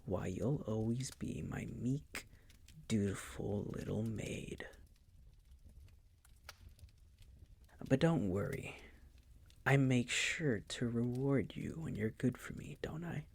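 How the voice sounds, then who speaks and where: A man playing a seductive, possessive vampire master in an intimate roleplay audio, teasing and coaxing in a breathy, husky voice that often drops to a whisper. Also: medium pitch, lively intonation, full character voice. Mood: smug, doting. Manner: slow, teasing, condescending coaxing.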